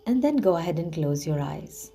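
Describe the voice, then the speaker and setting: A woman leading a guided relaxation visualization in a soothing, hushed manner with gently drawn-out phrasing. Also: on the low side, very animated, breathy edge. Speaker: guided meditation host. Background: background music.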